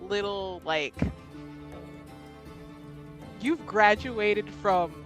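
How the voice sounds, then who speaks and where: A woman's voice: mid-range voice, very slow, faint music behind. A woman in casual chat as a tabletop roleplayer, reacting with amused, slangy expressiveness. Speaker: player in a tabletop roleplaying show.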